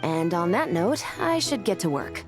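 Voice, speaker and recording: A woman's voice: on the low side, very animated, normal speed, over music. A woman voicing a composed, dutiful female detective in a video game.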